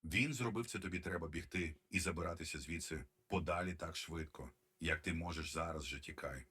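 A man's voice: very deep voice, fairly quick, bright, forceful tone, breathy edge, exaggerated voice. A man voicing a grim, ominous figure giving urgent warnings in an amateur analog horror cartoon.

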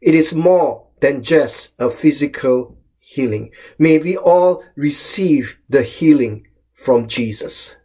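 A middle-aged man from Malaysia gives a short home sermon in a calm, measured voice that sits a little high for a man. He lifts the openings of his phrases for emphasis. He is recorded close up on a webcam in a small room. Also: husky. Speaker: pastor. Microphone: webcam or laptop camera close up.

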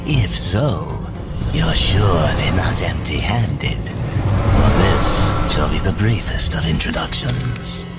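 A sly demon merchant from a video game, with a deep voice that swoops theatrically. He is greedy and mocking, lingering slowly over his taunts. Loud music plays behind him. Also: lively intonation, exaggerated voice. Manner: taunting, drawn-out theatrical delivery. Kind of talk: video game cutscene acting. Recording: loud background music under voice.

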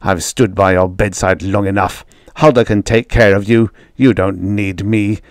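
A man playing a domineering father in a story, harsh, forceful and impatient. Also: on the low side, steady tone, measured pace, dry recording, studio quality. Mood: bitter anger. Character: stern, angry, domineering father and robot maker.

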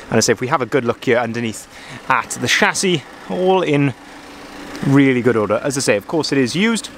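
A sales manager walking around a van outdoors and talking it through, chatty, often repeating himself and saying "as I say", recorded on a handheld camera. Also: male voice, medium pitch, a little hiss or hum. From Wales. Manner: chatty, filler-heavy, repeats "as I say". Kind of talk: walkaround vehicle review. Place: outdoor van yard, then inside a van cab. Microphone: handheld camera held by the speaker.

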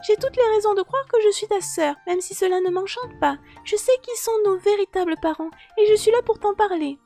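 A woman voicing a determined, sharp-tongued young girl in an audiobook, speaking in a composed, firm and cutting way. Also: extremely high pitch, a little fast, soft background music, pitched above the performer's own voice. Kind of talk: audiobook character dialogue.

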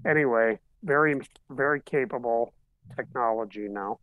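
A man in his fifties on a video call from his living room, casually showing off 3D printed model car bodies in a relaxed, matter-of-fact way. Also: mid-range voice, normal speed, dry recording, narrow, tinny audio. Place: home living room, seated in armchair. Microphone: webcam on video call.

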